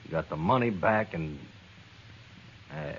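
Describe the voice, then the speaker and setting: An American actor playing a brooding, defiant outlaw in a 1950s radio western, guarded in manner. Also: male voice, rumbling low, expressive, fairly bright tone, breathy edge, heavily acted. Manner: sullen, guarded, halting. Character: defiant, brooding outlaw who gave himself up. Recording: dull, noisy old radio recording.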